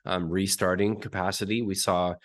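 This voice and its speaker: A man with a hoarse, raspy voice from being sick, explaining technical detail step by step as an interview guest and often clearing his throat. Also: low-pitched, little pitch movement, moderate pace. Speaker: uranium market analyst guest.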